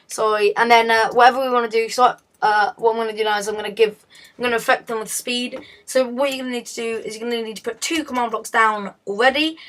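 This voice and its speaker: A woman with a hoarse, fading voice, talking through a game tutorial in a chatty, self-correcting way. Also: a little high, a bit bright. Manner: chatty, self-correcting, often laughs at slips. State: hoarse, losing her voice. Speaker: video tutorial host.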